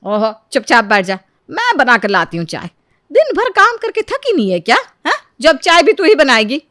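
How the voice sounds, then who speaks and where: A woman's voice: high-pitched, huge pitch swings, normal speed, sharp tone, no echo, clean studio sound, voice pushed up, exaggerated voice. A woman voicing a scheming, domineering mother-in-law in a storybook tale, scolding and theatrical. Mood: fussing. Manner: scolding, theatrical, switches to martyr-like fussing. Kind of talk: Hindi moral-story voice acting.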